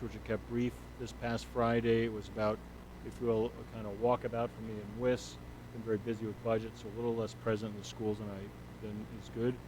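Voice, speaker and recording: A man's voice: lowish voice, a little mellow, a little hiss or hum, low-quality recording. A man, a school superintendent, giving his monthly report at a board meeting with a big voice, in a loose, rambling way full of fillers and restarts. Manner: loose, rambling delivery with fillers and self-corrections.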